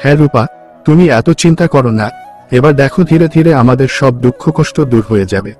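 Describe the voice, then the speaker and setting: Cartoon casting: humble, hardworking village husband and farmer played by a male actor, earnest and plain-spoken, middling pitch, music underneath. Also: a little fast, a little mellow, natural voice. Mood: hopeful. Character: poor, hardworking, grateful married village farmer. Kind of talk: cartoon folk tale dialogue. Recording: music behind the voice.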